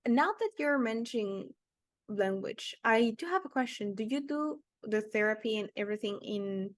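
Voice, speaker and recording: A woman's voice: fairly high pitch, animated, moderate pace, no room sound, studio quality. A woman hosting a casual livestream interview, speaking in an effusive, admiring way. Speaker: interviewer, show host.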